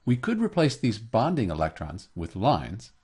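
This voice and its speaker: A man narrating a scripted tutorial as a teacher, explaining clearly step by step. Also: lowish voice, huge pitch swings, normal speed, a little mellow. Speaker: chemistry teacher, tutorial host.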